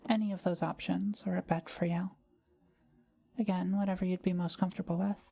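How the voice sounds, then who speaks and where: A woman playing a kind, soft-spoken forest witch in an intimate audio roleplay, talking to the listener in gentle, formal, old-fashioned wording. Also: on the low side, little pitch movement, fairly quick, vocal fry, slight background noise. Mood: warm, hospitable.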